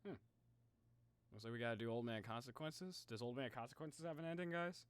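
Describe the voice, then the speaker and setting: A man livestreaming in a casual, halting way, chatting informally to his viewers and muttering to himself. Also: medium pitch, smooth, dry recording. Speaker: live streamer reacting to game videos.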